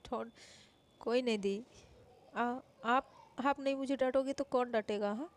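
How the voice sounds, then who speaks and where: A woman's voice: fairly high pitch, fairly even intonation, unhurried, close to an everyday voice. A woman voicing a playful younger sister who coaxes and teases. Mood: coaxing.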